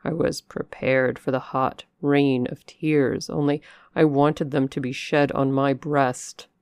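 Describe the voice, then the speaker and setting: A stern, passionate gentleman who broods and reproaches, read by a woman. The voice sits low with a gravelly edge and moves at an unhurried, heavy pace. It is recorded close and dry, with no room sound. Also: level tone, dry recording, full character voice. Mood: brooding.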